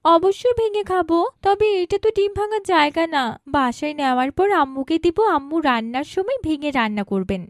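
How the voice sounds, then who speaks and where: A woman's voice: sits high, expressive, brisk, dry recording, studio quality. A woman voicing a polite, earnest village woman in a moral cartoon, with a Bangladeshi accent. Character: village mother and her polite, earnest grown daughter. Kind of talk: cartoon moral story dialogue.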